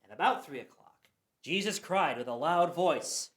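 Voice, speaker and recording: A man's voice: fairly high pitch, expressive, normal speed, fairly bright tone. A man narrating scripture for a church radio play, reading earnestly and sometimes stumbling. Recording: echoey room.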